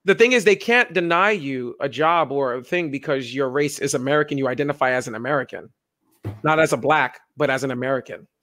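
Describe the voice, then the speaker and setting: A young man co-hosting a casual political chat, talking in an animated way and acting out the people he quotes. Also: fairly quick. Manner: animated, acts out quoted voices with pitch jumps. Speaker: podcast co-host.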